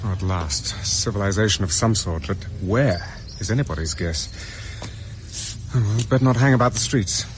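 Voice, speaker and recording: A man's voice: lowish voice, moderate pace, over music, lightly acted. An actor with a British accent playing a resourceful, hunted officer, muttering his thoughts in clipped exclamations in a radio drama. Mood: weary, searching. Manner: talking to himself in clipped exclamations. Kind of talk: radio drama acting with muttered inner monologue.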